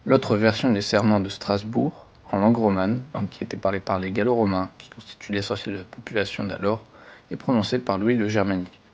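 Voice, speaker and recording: A man's voice: lowish voice, steady tone, a little fast, darkish tone, muffled recording. A man giving a scripted, formal educational narration as a history presenter. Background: background music.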